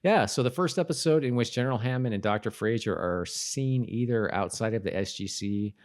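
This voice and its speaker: A man co-hosting a podcast in casual chat, talking in a halting, hesitant way and correcting himself. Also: medium pitch. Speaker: podcast co-host. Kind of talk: casual chat about TV show trivia.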